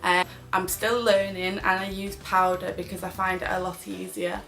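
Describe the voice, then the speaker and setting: A woman's voice: fairly low pitch, little pitch movement, moderate pace, gravelly, a bit bright, soft background music, rough recording. A British woman chatting casually as a beauty vlogger reviewing products.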